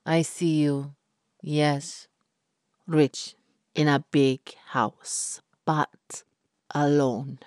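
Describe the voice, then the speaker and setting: A veiled fortune teller, acted by a woman narrator with a put-on foreign accent and a low voice. She speaks in slow, halting, dramatic phrases, a hostile and ominous storybook mystic. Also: fairly even intonation, darkish tone, no room sound, studio quality. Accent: fake foreign.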